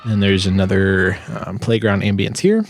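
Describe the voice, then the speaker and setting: A man's voice: low-pitched, expressive, moderate pace, a little hiss or hum. A man giving a casual, explanatory walkthrough as a sound designer presenting his work.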